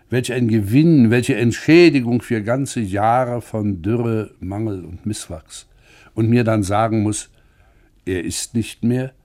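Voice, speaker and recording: Man as slow, mellow-voiced grieving eulogist. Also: on the low side, lightly acted. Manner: solemn, rhetorical, deliberate. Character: grieving, admiring male literary friend writing a eulogy. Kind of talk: dramatised letter reading for radio.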